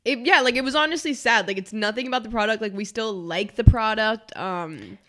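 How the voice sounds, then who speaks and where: A woman's voice: on the high side, a little fast, sharp tone. A young woman in her twenties with a stuffy, congested nose, chatting casually as a podcast co-host with lots of filler words. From Miami, Florida.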